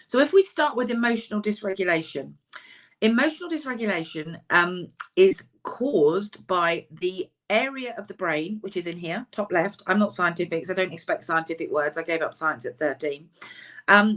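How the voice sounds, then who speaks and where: A woman, a counsellor and author, giving a chatty, emphatic webinar talk over a video call, her voice slightly husky. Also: mid-range voice, lively intonation, normal speed, fairly bright tone. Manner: chatty, self-deprecating, emphatic.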